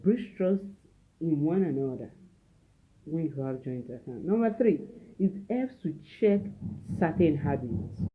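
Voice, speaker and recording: Soft-spoken Nigerian woman teaching, phone-like sound. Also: sits low, lively intonation, a little slow. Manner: repeats key phrases for emphasis; speaking softly at home. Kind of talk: teaching talk with preaching. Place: at home. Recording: narrow phone-like audio.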